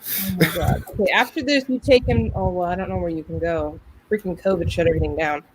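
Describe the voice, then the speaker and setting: A woman's voice: fairly low pitch, fast, husky, rough recording. A woman hosting a podcast in casual conversation, talkative and telling stories with acted-out quotes. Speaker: podcast host.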